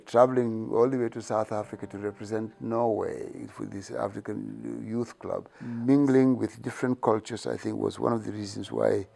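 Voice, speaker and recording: A man's voice: lowish voice, gravelly, mellow, slightly rough. A middle-aged man speaking hesitantly in an interview, with many repeats and restarts as he reflects on his family. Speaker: parent interviewed about family.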